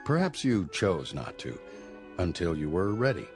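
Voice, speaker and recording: Deep-voiced, fatherly doctor. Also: very animated, music in the background. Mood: probing, calm. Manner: measured, reassuring, paternal. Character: fatherly, reassuring male sanitarium doctor. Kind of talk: radio drama acting.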